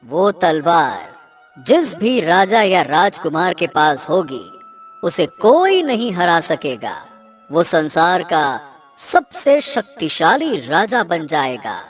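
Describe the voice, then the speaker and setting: Woman voicing a slow, low, mysterious cartoon swan. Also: big pitch swings, faint music behind. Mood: solemn, instructing. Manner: slow, deliberate, dramatic. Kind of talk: animated children's story dialogue.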